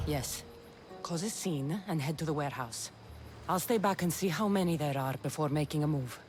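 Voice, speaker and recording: A woman's voice: low voice, normal speed, darkish tone, soft background music, lightly acted. A woman playing a bold, wry mercenary warrior of ancient Greece in a video game cutscene, speaking with confident command. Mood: resolute.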